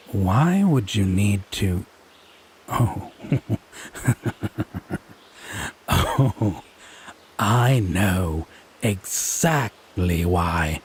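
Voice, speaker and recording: Smug, tipsy male fae lover played by a man, very slow sardonic drawl with swooping pitch, for a teasing audio roleplay. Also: a little mellow, a little hiss or hum, exaggerated voice. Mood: mock accusing. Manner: drawling, mocking, sardonic, pet names. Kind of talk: slow theatrical audio roleplay monologue addressed to listener.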